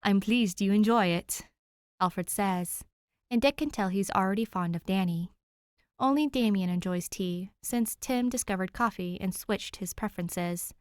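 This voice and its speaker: A woman's voice: medium pitch, moderate pace, darkish tone, clean tone, dry recording. Young woman narrating, dry close sound. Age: young adult. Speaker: amateur audiobook narrator. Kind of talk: expressive story narration and casual chat.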